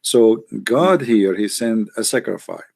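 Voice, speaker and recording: Gravelly-voiced man hosting a call-in. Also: lowish voice, lively intonation, measured pace, darkish tone. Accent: Arabic. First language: Arabic. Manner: teasing, jokey, non-native phrasing. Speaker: host taking calls. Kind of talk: casual call-in debate chat.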